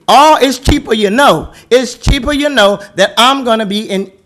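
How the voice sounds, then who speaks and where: A man giving a passionate, emphatic, loud speech as a board member at a public city meeting. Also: extremely high pitch, moderate pace, slight background noise. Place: council chamber.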